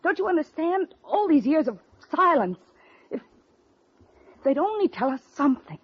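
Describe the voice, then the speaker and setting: A woman's voice: high voice, sing-song, normal speed. An actress playing an anguished young woman in a 1940s radio drama, with emotional, dramatic delivery. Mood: distressed, weary. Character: anguished young woman waiting for her missing foster brother. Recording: dull vintage radio recording.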